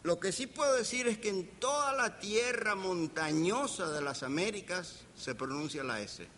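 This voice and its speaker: An older man with a Caribbean Spanish accent gives an anecdotal lecture as a professor, deliberate, pausing and playfully humorous. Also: high voice, animated, a bit bright. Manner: deliberate, with pauses and playful humour. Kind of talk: anecdotal academic lecture to an audience. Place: lecture hall. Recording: reverberant hall, background hiss.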